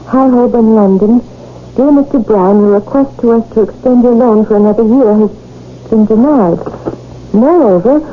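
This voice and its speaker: An actress playing a stern, sharp-tongued shopkeeper's wife in a radio drama, firm and scolding in manner. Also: female voice, on the high side, narrow, tinny audio, natural voice. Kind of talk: 1970s radio drama acting. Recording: thin old radio broadcast.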